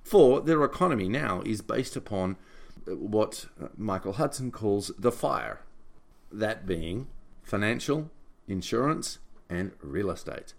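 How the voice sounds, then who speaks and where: A man giving informal solo political commentary in a deliberate, emphatic and opinionated way. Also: lowish voice, expressive, vocal fry. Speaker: podcast host and writer summarizing own article.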